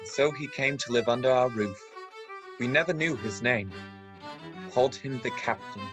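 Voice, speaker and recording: A man playing an earnest storyteller who recalls a boyhood adventure, narrating a radio play in a measured, literary way. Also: mid-range voice, lightly acted.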